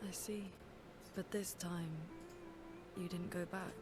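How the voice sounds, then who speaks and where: Casting: serious scholar for game cutscenes, slightly low voice, dry and measured, strong music bed. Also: female voice, flat delivery. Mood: probing. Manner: measured, matter-of-fact, dry. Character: serious, reserved adult woman, scholarly researcher. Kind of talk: naturalistic video game cutscene acting. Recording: background music over dialogue.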